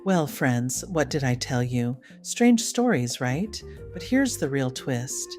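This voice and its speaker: A woman's voice: rumbling low, expressive, slow, over loud music. A woman host giving scripted narration in a conversational storyteller's address to listeners. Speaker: channel host.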